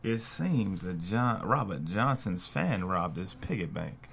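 A man's voice: low-pitched, animated, takes it slowly, creaky, fairly bright tone, husky, full character voice. An actor playing a confident, swaggering blues singer in his late thirties at a screenplay table read. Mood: wry.

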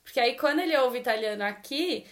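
Encouraging woman host, fairly high voice. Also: animated, fast talker. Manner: warm, encouraging backchannels and reflective comments. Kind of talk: casual interview chat.

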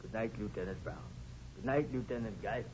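An actor playing a nervous, prickly, well-to-do witness with formal, fretful speech in an old-time radio crime drama. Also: male voice, fairly low pitch, brisk, lightly acted. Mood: fretful, uneasy. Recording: muffled lo-fi old radio recording, faint noise.

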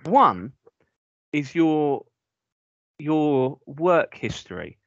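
A young man with a Northern English accent co-hosting a podcast, teasing his friend with quick comebacks. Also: fairly high pitch, big pitch swings, dry recording. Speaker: podcast co-host. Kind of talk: casual banter. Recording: dull lo-fi dry sound.

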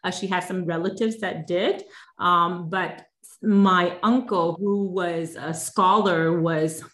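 A woman's voice: on the low side, steady tone, slow pace, fairly bright tone, echoey room. A woman in her forties, originally from Vietnam, telling her life story as an interview guest in a reflective way with many fillers and restarts. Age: 40s. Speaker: guest, life and business coach.

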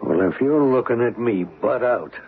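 An actor playing a desperate, hard-nosed blackmailer in a radio mystery, with blunt, terse delivery. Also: male voice, medium pitch, lively intonation, moderate pace, lightly acted. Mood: skeptical. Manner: blunt, terse, tough-talking. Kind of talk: old-time radio mystery acting. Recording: muffled, noisy old radio broadcast recording.

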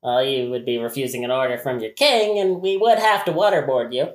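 Performer playing obsequious flustered yes-man courtier, halting deferential delivery, comic audioplay, echoey room. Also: medium pitch, close to an everyday voice. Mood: polite, officious. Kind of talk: modern comic audioplay acting.

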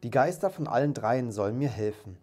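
A man's voice: medium pitch, expressive, a little fast, dark tone, faint music behind, close to an everyday voice. A man voicing a reformed old miser from a storybook, exclaiming with great emotion and emphasis. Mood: fervent relief. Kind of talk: dramatised storybook reading.

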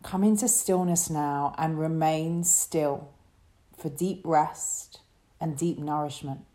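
A woman leading a guided relaxation meditation as a yoga teacher, speaking in a soothing, gentle way. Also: sits low, fairly even intonation. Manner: soothing, gentle, unhurried instruction.

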